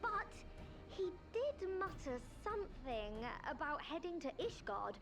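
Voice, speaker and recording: A high, swooping female voice for a bubbly game secretary. She is chatty and easily flustered, speaking at an unhurried pace over loud music. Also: big pitch swings, full character voice. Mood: apologetic, upbeat. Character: cheerful, flustered female secretary calling by link device. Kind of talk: video game voice acting. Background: orchestral game music.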